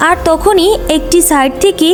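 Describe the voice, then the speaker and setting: A woman's voice: extremely high pitch, moderate pace, faint music behind. A woman narrating a scripted cartoon fairy tale as storyteller.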